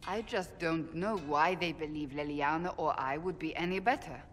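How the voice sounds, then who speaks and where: A very low-pitched female warrior, stern and principled. She speaks in formal, deliberate phrases with earnest bluntness, as in a dramatic fantasy game. Background noise sits under the voice. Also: a bit bright. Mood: guarded, dry. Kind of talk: dramatic video game dialogue.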